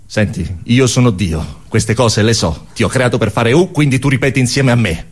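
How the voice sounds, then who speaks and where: A man's voice: medium pitch, moderate pace, breathy edge, pitched below the performer's own voice, lightly acted. A man playing a stern, pompous god in an absurd theatre sketch, imperious and instructing. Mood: commanding. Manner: imperious, didactic. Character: stern, pompous god commanding his creature.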